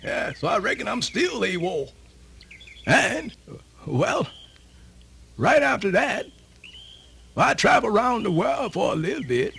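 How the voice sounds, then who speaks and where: An old Southern storyteller in a radio drama, his high, creaky voice swooping widely. He rambles in a folksy drawl, circling back over his own words. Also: sing-song, unhurried, pauses often, sharp tone, slight background noise, heavily acted. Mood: folksy, amused. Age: older. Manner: rambling, repeats himself, folksy. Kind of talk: radio theatre monologue.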